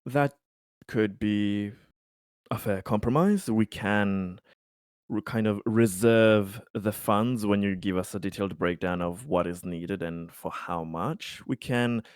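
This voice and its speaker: A man with a fairly low voice takes part in a tabletop game discussion. He phrases things piece by piece in a measured, conversational way. The sound is dry, with little room in it. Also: clear, resonant tone, no echo. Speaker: tabletop roleplaying player.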